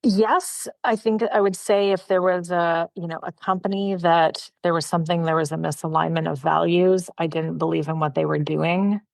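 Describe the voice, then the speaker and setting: A Canadian woman with a low voice and a gravelly edge talks as a podcast guest. She chats in a friendly, easygoing way, fills her thoughts with ums and you-knows, and the sound is dry and close. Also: no echo. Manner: friendly, chatty, frequent fillers and light laughs. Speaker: guest, personal brand consultant. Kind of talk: casual interview conversation.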